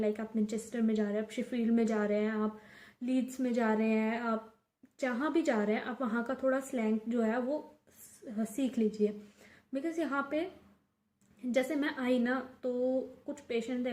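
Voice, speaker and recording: Young woman from India, casual vlogger, mellow higher voice, roomy echo. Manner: chatty, mixes English words into her talk, many restarts. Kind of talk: casual advice vlog. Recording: echoey room.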